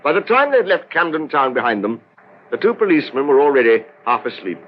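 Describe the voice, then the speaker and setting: A muffled old radio recording carries an actor as a male narrator. His medium-pitched delivery is formal and descriptive, with a wry literary turn of storytelling. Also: sing-song, fairly quick, a little hiss or hum, lightly acted. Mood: measured, wry. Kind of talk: scripted dramatic storytelling, old-time radio.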